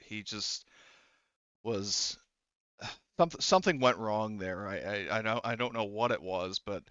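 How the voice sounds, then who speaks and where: A man voicing a shaken, apologetic female corporal in a halting, subdued way for a tabletop game. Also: on the low side, fairly bright tone, clear, resonant tone, dry recording, lo-fi sound.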